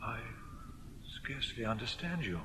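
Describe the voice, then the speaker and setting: A man's voice: mid-range voice, animated, normal speed, noisy background, close to an everyday voice. An actor playing an earnest, anxious village clergyman in a British radio drama, speaking formally and insistently. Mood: guardedly uneasy.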